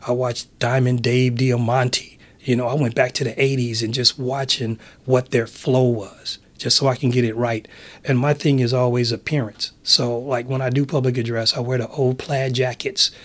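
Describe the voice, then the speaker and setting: A middle-aged man speaks in a raspy, mid-pitched voice. He talks like a relaxed interview guest, casual and full of easy slang, with a chill manner. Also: moderate pace. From San Francisco, USA. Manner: laid-back, chill, slangy. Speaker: interview guest, public address announcer.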